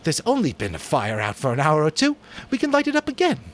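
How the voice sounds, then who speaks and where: A man voicing an excited, boastful boy who leads a band of hunters, talking loud and eager. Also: a little high, fast, pitched above the performer's own voice. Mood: defensive.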